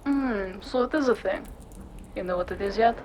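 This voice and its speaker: Woman acting cool-headed female investigator, medium pitch. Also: normal speed, darkish tone, highs cut off, slight background noise, natural voice. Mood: curious, cool. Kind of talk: noir audio drama acting.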